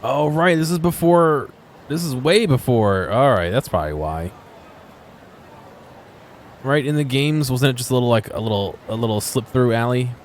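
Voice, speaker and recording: A man giving casual, off-the-cuff video game commentary, thinking aloud in halting fragments and questions to himself. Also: medium pitch, a little hiss or hum.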